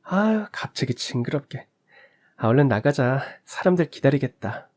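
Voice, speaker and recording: A man voicing a gentle, forgiving middle-aged wife who speaks softly to her husband. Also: mid-range voice, big pitch swings, normal speed, soft background music. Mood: bashful.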